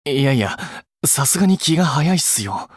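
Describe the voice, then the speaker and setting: A man's voice: a little high, big pitch swings, normal speed, no echo, clean studio sound. A man voicing an earnest, blunt but caring young idol in an anime game, speaking casually and a little awkwardly. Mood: exasperated. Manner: casual clipped polite speech, flustered hesitations.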